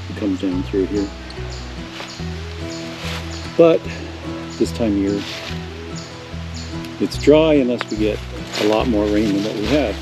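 A man's voice: lowish voice, poor audio. Rambling older man on a woods walk. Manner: relaxed, rambling, pointing things out as he walks. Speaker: homesteader vlogger. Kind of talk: casual walking narration. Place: outdoors in woods by a swamp.

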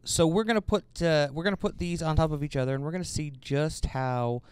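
A man's voice: a little high, fairly even intonation, brisk, clear, resonant tone, no echo. A man co-hosting a casual product review, commenting and bantering in a joking way. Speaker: host of bowling ball reviews.

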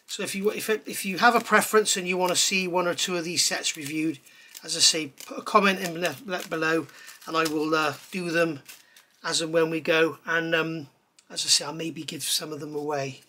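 A man in middle age talks through hobby parts at his table in a relaxed, rambling review. His voice sits a touch high and rings bright. He fills pauses with ums and keeps calling things stunning. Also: a little hiss or hum. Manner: chatty, enthusiastic, lots of ums and "absolutely stunning". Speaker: scale model reviewer. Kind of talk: casual hands-on product review. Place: indoors at a table.

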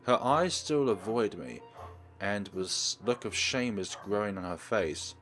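A man voicing a guarded, self-effacing high school girl who narrates a visual novel in an earnest, measured way. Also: expressive, fairly bright tone, soft background music. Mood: reflective.

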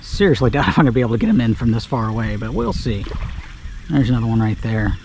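A man casually talking through a fishing trip from his kayak on a creek, relaxed and full of filler words, recorded on an action camera outdoors. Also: mid-range voice, fast talker. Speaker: fishing guide. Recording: outdoor camera mic, audible background noise.

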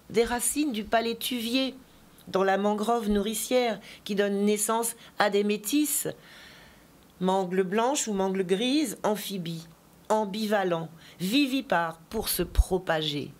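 Chatty woman poet, full of asides. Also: mid-range voice. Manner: digressive, chatty storytelling with asides; slow, deliberate poetry recitation. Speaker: poet and teacher, interview guest. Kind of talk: interview talk and poetry reading.

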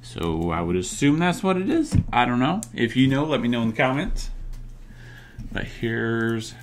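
A man's voice: medium pitch, big pitch swings, normal speed. A middle-aged man talking casually and thinking aloud as he hosts a hands-on teardown video. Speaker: video host. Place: indoors at a tabletop workspace. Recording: noticeable background noise.

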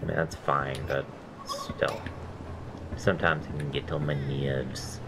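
Deep nasal man rambling over gameplay. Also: little pitch movement, a little slow, noisy background. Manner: rambling, self-deprecating, swears at deaths. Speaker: gameplay commentator. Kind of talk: casual let's-play chat.

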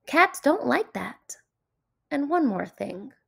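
A woman's voice: sits high, sing-song, slow pace, vocal fry, a bit bright, pitched above the performer's own voice, full character voice. Woman reading as a finicky, swooping storybook cat. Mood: firm, fussy. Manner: emphatic, exclaiming, dramatic delivery. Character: finicky, bossy, indignant grey cat laying down rules. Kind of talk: children's picture book read-aloud.

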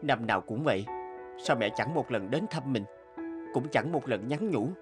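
An actor playing an emotional, hot-tempered young son in a cartoon, with dramatic delivery. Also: male voice, mid-range voice, lively intonation, moderate pace, natural voice. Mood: brooding. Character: hot-tempered, resentful young man, later remorseful son. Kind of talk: cartoon dubbing. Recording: clear music under the voice.

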